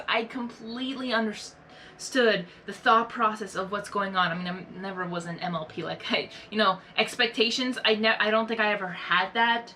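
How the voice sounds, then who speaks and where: A young woman hosting a reaction video, talking in a rambling, earnest, soft-spoken way with many fillers and restarts. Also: mid-range voice, slight background noise. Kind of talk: casual reflective monologue.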